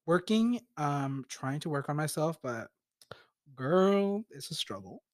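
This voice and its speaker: A young man co-hosting a podcast in casual chat, soft-spoken and hesitant, with many ums. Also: a little high, very animated, takes it slowly, dry recording, studio quality. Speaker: podcast co-host.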